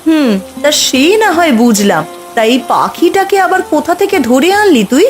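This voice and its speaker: A woman's voice: sits high, very animated, moderate pace, soft background music. A woman playing a concerned village wife and mother in a cartoon story, with a homely, anxious way of questioning. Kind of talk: Bengali cartoon story dubbing.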